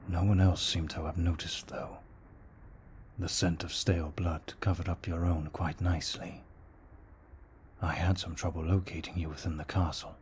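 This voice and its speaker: Guy doing a tormented gentleman vampire, asmr style, super low n breathy, whispery, slow formal talk, bit of background noise. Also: flat delivery, close to an everyday voice. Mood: grave, gentle. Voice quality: hushed, breathy, often slipping into whisper. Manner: formal, deliberate, brooding delivery. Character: tormented, courteous male vampire fighting his bloodlust. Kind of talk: ASMR roleplay, slow intimate monologue.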